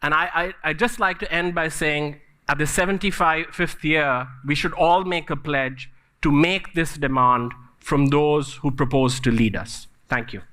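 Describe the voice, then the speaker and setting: A man's voice: fairly high pitch, measured pace, bright, forceful tone. A man giving prepared, emphatic remarks as a speaker on a policy panel.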